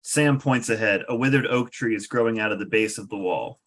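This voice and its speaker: A man's voice: lowish voice, fairly quick, fairly bright tone. A man reading stage directions as the narrator of a scripted table read.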